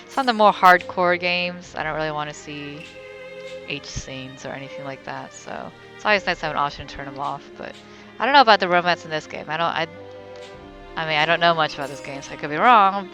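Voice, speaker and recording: A young woman streams a video game in a fairly low voice full of creaky fry. She comments casually and often murmurs to herself as she goes. Faint music plays behind her. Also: bright, forceful tone. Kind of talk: casual let's play commentary.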